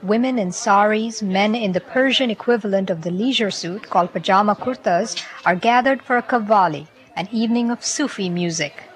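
A woman reporter reading scripted documentary narration in a measured, even delivery. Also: medium pitch, rough recording.

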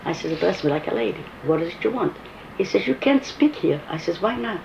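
A woman's voice: lowish voice, fairly quick, gravelly. An older woman with a foreign accent, telling her story in an oral history interview and acting out the dialogue. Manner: animated storyteller, quotes dialogue. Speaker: interviewee recalling activism. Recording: muffled, echoey room with faint hiss.

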